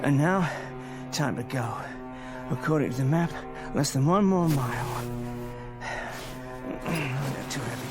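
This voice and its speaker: A man acting in a radio drama as an exhausted, bitter older professor turned thief, with formal, halting speech. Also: medium pitch, over music, close to an everyday voice. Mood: exhausted muttering. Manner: formal, educated wording, halting pleading.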